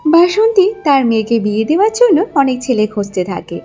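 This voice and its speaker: Casting: female storyteller, high voice, scripted cartoon narration over faint music. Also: sing-song, fairly quick, warm tone.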